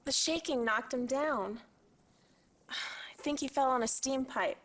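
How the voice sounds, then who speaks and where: A woman's voice: sits high, takes it slowly, fairly bright tone. An actress playing a distressed woman pleading for help in a video game disaster cutscene. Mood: pained, worried. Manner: pleading, pained. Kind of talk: full-motion-video game acting.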